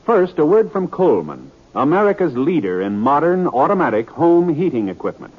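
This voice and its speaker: A man's voice: on the high side, big pitch swings, normal speed, slightly rough. A man formally announcing a vintage radio drama from a script. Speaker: program announcer. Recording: dull vintage radio recording. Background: music.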